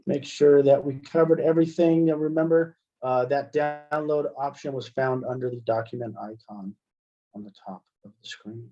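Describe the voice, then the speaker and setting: A middle-aged American man giving a casual, step-by-step software demonstration as a webinar trainer, speaking into a headset. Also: mid-range voice, steady tone, moderate pace, lo-fi sound, faint music behind. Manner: explanatory, step-by-step. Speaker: assistive technology trainer.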